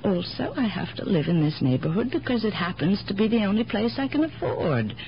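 An actress playing an independent, philosophical elderly aunt with a sharp mind, speaking deliberately in gently contrary riddles in 1970s radio drama style. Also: female voice, mid-range voice, big pitch swings. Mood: fond, firm. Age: older. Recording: dull, noisy 1970s radio broadcast recording.

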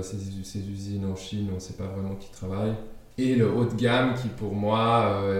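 Swiss-raised man in a home interview, low voice, halting delivery, heard from a distance in a reverberant room. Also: darkish tone. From Switzerland. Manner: hesitant, many fillers and restarts. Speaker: furniture designer interviewed at home. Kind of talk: casual interview. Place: his apartment.

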